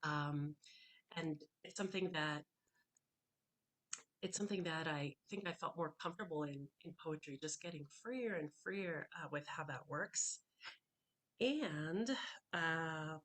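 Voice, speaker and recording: Thoughtful woman poet, low voice. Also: a bit bright. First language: Hebrew. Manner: thoughtful, hesitant between poems, slow and deliberate when reading. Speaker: poet reading her work. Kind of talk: poetry reading with commentary. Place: home, on a video call. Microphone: computer microphone on a video call.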